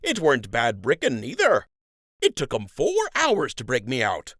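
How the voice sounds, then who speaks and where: A man's voice: mid-range voice, huge pitch swings, normal speed, a bit bright, no echo, studio quality, pitched above the performer's own voice. A man voicing a rambling, cheerful rabbit dock worker who talks in a folksy, ungrammatical country dialect.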